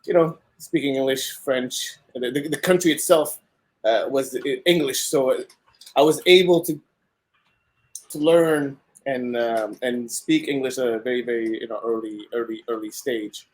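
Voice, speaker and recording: A man's voice: medium pitch, normal speed. A man from Kenya, a guest on a podcast, speaking casually and hesitantly with many fillers. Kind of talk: casual conversation.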